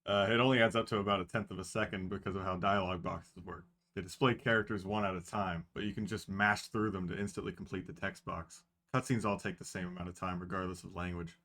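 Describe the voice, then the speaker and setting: A man's voice: low voice, steady tone, quick pace, few pauses, bright, forceful tone. A man playing an oblivious, chatty unicorn speedrunner who gives matter-of-fact gaming commentary in a story reading. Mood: matter-of-fact explaining. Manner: matter-of-fact, rattles off game trivia.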